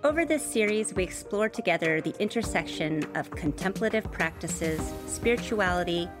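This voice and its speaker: A woman's voice: on the low side, lively intonation, moderate pace. A woman hosting a podcast. She reads a scripted introduction and then asks interview questions in a polished, welcoming way. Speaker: podcast host. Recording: music bed under the voice.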